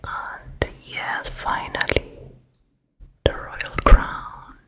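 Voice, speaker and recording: A woman voicing a vain, commanding fairytale queen in a hushed, deliberate whisper for an ASMR roleplay. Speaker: ASMR performer.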